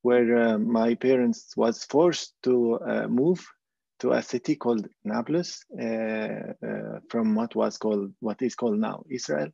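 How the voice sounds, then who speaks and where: A man from Palestine who works as a clown, speaking on a panel with hesitant pauses and many fillers. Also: medium pitch, vocal fry, mellow, slightly rough, no room sound, lo-fi sound. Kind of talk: panel talk.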